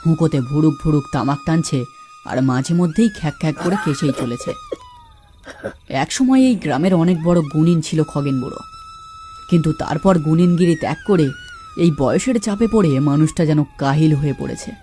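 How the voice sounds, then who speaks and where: Animated, mellow male story narrator. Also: fairly high pitch, a little fast, soft background music.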